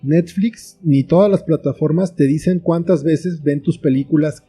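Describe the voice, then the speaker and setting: Mexican man, higher-set voice, very soft dark tone, reflective interview guest, guitar music behind. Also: normal speed. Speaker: interview guest, screenwriter and author. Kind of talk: conversational interview answers.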